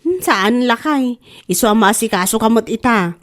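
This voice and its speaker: A woman's voice: on the high side, lively intonation, moderate pace, natural voice. A woman with an Ilocano Filipino accent playing a frank, exclamatory married confidante giving advice in a radio comedy drama. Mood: businesslike, friendly. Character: frank, motherly older-sister confidante, a married masseuse.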